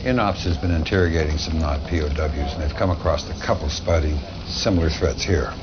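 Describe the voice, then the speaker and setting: Army general in a game, really deep, blunt n folksy, serious, noisy muffled audio. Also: male voice, fairly quick. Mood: grave concern. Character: gruff, grave male military general briefing a commander. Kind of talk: live-action game cutscene briefing. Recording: dull lo-fi audio with clear noise.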